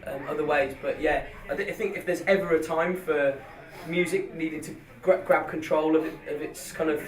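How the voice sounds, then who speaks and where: A man's voice: a little high, fairly even intonation, fairly quick. A man in a band, chatting casually and restarting his sentences as he thinks aloud. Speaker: band member. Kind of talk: casual group chat. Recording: echoey, distant.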